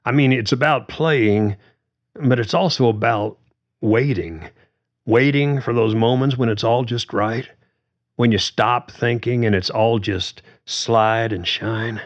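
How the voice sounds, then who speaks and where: A man's voice: mid-range voice, pitched above the performer's own voice. A man voicing a frustrated middle-aged male musician, earnest and exasperated, swearing when pushed. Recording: dull lo-fi recording.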